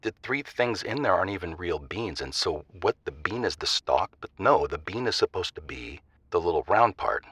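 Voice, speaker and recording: A man playing an earnest, rambling small-town caller in a comic audio drama, with a husky voice and emphatic, fussy delivery. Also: deep voice, animated, moderate pace, hardly any pauses, a bit bright, dry recording, full character voice. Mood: puzzled, fussy.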